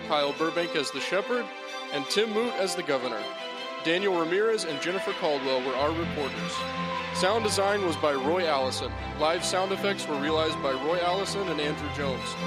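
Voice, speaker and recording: A male host giving measured, reflective closing remarks and reading the credits. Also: on the high side.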